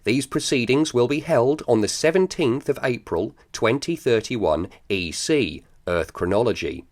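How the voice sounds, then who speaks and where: An actor playing a formal, legalistic court official reading out a summons in a science fiction comedy radio drama. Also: male voice, mid-range voice, expressive, measured pace.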